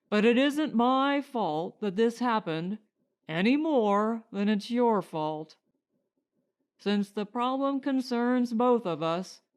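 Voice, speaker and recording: A woman voicing a reasonable, courteous male settler in an audiobook story, speaking in a deliberate, persuasive way. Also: a little high, smooth.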